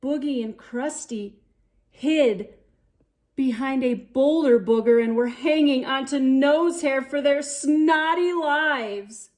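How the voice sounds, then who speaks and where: Female children's storyteller: high pitch, unhurried pace, expressive read-aloud delivery. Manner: theatrical, deliberate delivery with dramatic gasps and exclamations. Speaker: storyteller, channel host.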